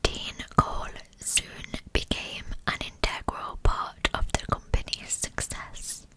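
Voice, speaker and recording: A woman whispers throughout in a soft, breathy ASMR style. She reads scripted narration very slowly, with deliberate, measured phrasing. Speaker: ASMR host narrating true crime.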